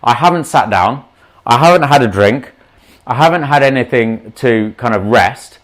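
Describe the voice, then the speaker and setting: A man with a slight sore throat and a sniffle, a doctor talking straight to his viewers on a livestream. His voice sits at an ordinary middle pitch for a man. He speaks off the cuff, outspoken and impassioned, often drifting into asides. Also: lively intonation, moderate pace. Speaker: doctor giving a livestream talk. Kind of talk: unscripted livestream talk to viewers.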